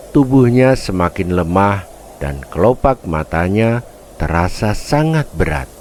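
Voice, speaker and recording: A man narrating a children's fable in a dramatic, deliberate storytelling manner. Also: deep voice, a little mellow, a little hiss or hum.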